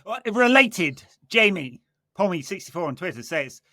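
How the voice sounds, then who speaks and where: A man's voice: sits high, very animated, normal speed, sharp tone, dry recording. A man hosting a casual football podcast, chatting with dry, sardonic banter. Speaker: podcast host.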